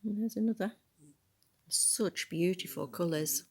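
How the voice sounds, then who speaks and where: A woman reading a picture book aloud in a soft, breathy voice, adding musing, conversational asides about the illustrations. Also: fairly low pitch, unhurried. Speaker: storybook reader.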